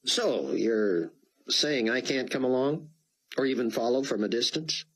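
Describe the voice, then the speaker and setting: A man's voice: mid-range voice, animated, a little slow, pitched above the performer's own voice, rough recording. A man voicing a dry, teasing middle-aged father in an audiobook. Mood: wry. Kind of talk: audiobook character voice.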